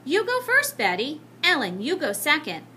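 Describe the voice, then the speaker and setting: A woman's voice: high voice, wildly swooping pitch, normal speed, bright, forceful tone, slight background noise, pitched above the performer's own voice, exaggerated voice. A woman voicing a haughty, dismissive young schoolgirl in a children's storybook, bossy and scornful.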